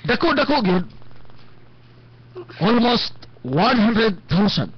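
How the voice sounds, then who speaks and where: An actor playing a brisk, detached doctor, hurried and businesslike, in a radio drama. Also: male voice, high voice, very animated, noisy background, exaggerated voice. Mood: brisk, dismissive. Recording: muffled lo-fi stream with music.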